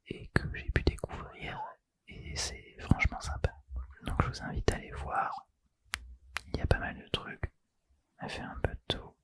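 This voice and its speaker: A man's voice: fairly bright tone, no room sound. A young man whispering a casual, slangy chat for an ASMR channel, close to the mic. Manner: casual and slangy, self-deprecating. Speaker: ASMR YouTuber.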